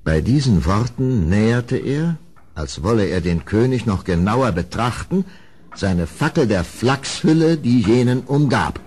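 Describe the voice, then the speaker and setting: A man's voice: medium pitch, expressive, unhurried, a little mellow. A man narrating a gothic radio play as scripted storytelling, grave and theatrical in manner. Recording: old audio drama recording, background noise.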